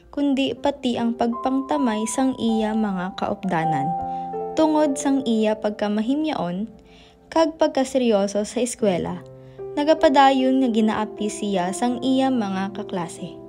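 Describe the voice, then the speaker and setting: A woman's voice: on the high side, lively intonation, measured pace. A woman with a Filipino accent narrating a scripted radio drama. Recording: music bed under the voice.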